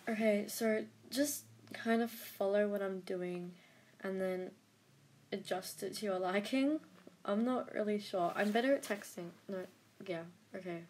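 A woman's voice: medium pitch, unhurried, a little mellow, a little hiss or hum. A young woman narrating a drawing-app editing tutorial in a casual, rambling way, full of fillers and self-deprecating asides. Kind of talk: casual screen-recorded tutorial.

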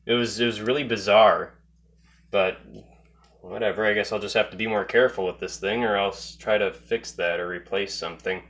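A man's voice: on the low side, fairly even intonation, normal speed, a bit bright. A man casually narrating a repair job as he works, thinking aloud in an offhand way. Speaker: hobbyist radio repairer. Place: indoor workbench.

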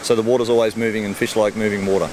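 A middle-aged Australian man explains his fish farm in a relaxed, plain way, talking at a brisk clip. He speaks into a handheld mic outdoors beside the ponds, with a faint hum of ambient noise behind him. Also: lowish voice, poor audio. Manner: easygoing, explains simply with everyday comparisons. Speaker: fish farmer. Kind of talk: outdoor interview answering kids' questions. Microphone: handheld mic held by interviewer.

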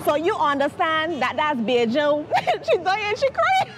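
A woman with a Caribbean accent talks fast and laughs, her high voice swinging all over the place. Street noise and a crowd roar around her outdoors. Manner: fast, lively, laughing, repeats herself. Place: busy city street outdoors.

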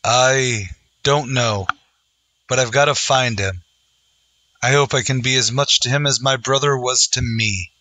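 Guy playing earnest resolute western radio hero, solemn, bright forceful voice, medium pitch. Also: a little slow, no room sound. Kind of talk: old-time western radio acting.

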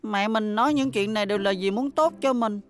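A woman's voice: mid-range voice, brisk, close to an everyday voice. A woman voicing a timid, dutiful, overworked little schoolboy in a children's cartoon dub. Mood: glum, earnest.

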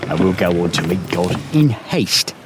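An actor playing a boastful, cruel pirate captain in an audio drama, pompous and gloating. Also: male voice, sits low, big pitch swings, unhurried, music in the background. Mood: gloating, menacing. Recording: noisy ambience behind the voice.

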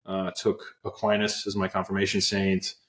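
A man's voice: low-pitched, completely flat delivery, moderate pace, fairly bright tone, reverberant. A young man from Pennsylvania, a guest on a video call with earbuds, telling his story earnestly with many fillers and restarts. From Pennsylvania, USA. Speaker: guest, app co-founder. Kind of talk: casual interview storytelling. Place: small room indoors. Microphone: earbud headset on video call.

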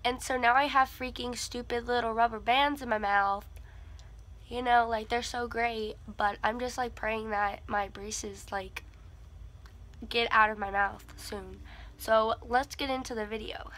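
A woman's voice: a little high, steady tone, unhurried, fairly bright tone. A teenage girl with a cold and a congested nose, chatting casually to her channel from her bedroom, with lots of "like" fillers. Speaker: vlogger. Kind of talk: casual chat. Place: bedroom with a tapestry behind her.

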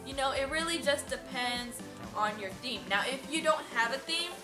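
A woman's voice: high-pitched, moderate pace, over music. A young woman chatting casually and explanatorily as the host of an advice vlog, recorded in a large room on a camera mic. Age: young adult. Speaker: host, quinceañera choreographer. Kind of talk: casual instructional vlog chat. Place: large indoor dress boutique showroom, seated on a sofa. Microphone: camera mic a few metres away. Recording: roomy echo, camera mic at a distance.

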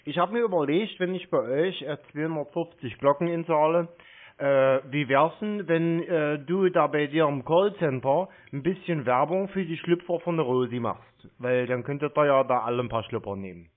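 A native German man with a slightly high voice makes an improvised prank call. He plays dim, rambles and gets crude. The sound is thin and boxed in by the phone line. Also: moderate pace. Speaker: prank caller baiting phone scammers. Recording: narrow, tinny phone line.

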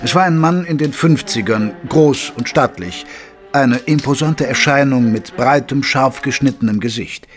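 A male actor plays a gentlemanly companion to a detective, telling the story of an audio drama. His mid-pitched voice moves in measured, literary phrases. Music plays behind him. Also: natural voice. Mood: measured storytelling. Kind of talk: scripted narration in an audio drama.

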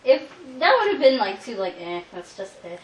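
A woman's voice: medium pitch, sing-song, normal speed. A young woman host chatting and teasing casually in a small room at home, picked up by a camera mic. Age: young adult. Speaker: vlog host. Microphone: camera mic at about arm's length. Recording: noisy home camera recording.

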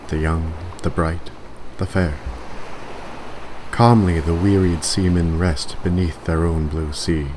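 A man giving a solemn, measured and grave recitation of poetry. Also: sits low. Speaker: poetry reader. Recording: clear background ambient noise.

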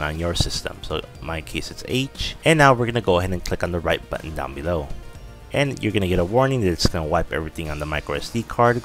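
A man in his thirties with a low-pitched voice hosts a tech tutorial. He guides the listener through each step in an instructional way. Music plays faintly behind his narration. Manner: instructional, repeatedly directing the listener. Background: background music.

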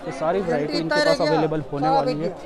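A man's voice: fairly high pitch, very animated, fast. A man giving a casual market tour as a vlogger, often repeating himself and addressing viewers as brother, recorded outdoors in a street market. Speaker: vlogger and host. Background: crowd chatter.

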